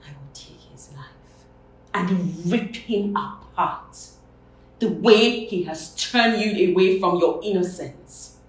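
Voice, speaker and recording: A Nigerian woman playing a wounded, vengeful big sister in a dramatic monologue, speaking in an intense, breathy, halting hush. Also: low-pitched. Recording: echoey room, background noise.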